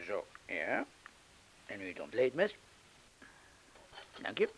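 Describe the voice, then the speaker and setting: An actor playing a weary, irritable middle-aged man in a radio drama, speaking haltingly in broken-off phrases. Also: fairly low pitch, wildly swooping pitch, a little hiss or hum, heavily acted, low-quality recording. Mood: concentrated, muttering.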